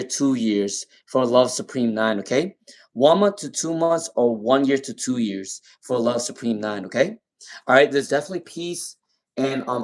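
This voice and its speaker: A man with a non-native English accent and a speech impairment reads tarot live for his viewers. His voice sits in a middle range. He repeats each name and question several times as he works. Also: mid-range voice. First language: not English. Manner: repeats names and questions while pulling cards. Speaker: tarot reader. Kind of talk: live-stream tarot reading.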